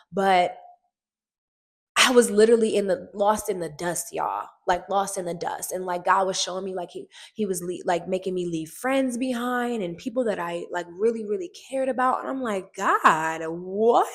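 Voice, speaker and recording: A woman, an actress, sharing a personal testimony to the camera in a casual, animated, emphatic way, recorded on a film set. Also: medium pitch, normal speed. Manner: animated, emphatic storytelling, often repeats phrases.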